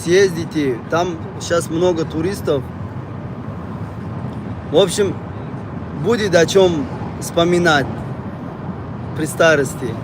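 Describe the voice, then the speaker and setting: High-pitched man, noisy background. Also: slow pace, long pauses. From Tajikistan. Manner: rambling, conversational, repeats phrases. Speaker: live streamer. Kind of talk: casual livestream chat with viewers. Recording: noisy outdoor or car street noise.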